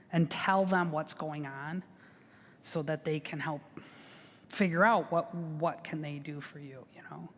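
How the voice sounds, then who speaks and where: Woman, lowish voice, chatty, reassuring, fillers and restarts, long hall reverb. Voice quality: big voice. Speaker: veterans service officer. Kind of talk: informal advice talk.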